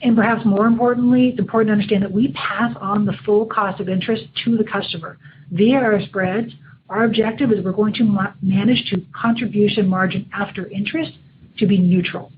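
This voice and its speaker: Exec lady on an earnings call, medium pitch, noisy phone-like line. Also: fairly even intonation, brisk. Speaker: company executive. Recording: phone-like line, clear background noise.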